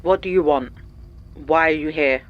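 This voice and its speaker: A woman's voice: low-pitched, moderate pace, dull audio, close to an everyday voice. A woman playing a fierce, suspicious, confrontational character on guard in an audio horror drama. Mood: angry, suspicious. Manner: urgent, blunt, confrontational.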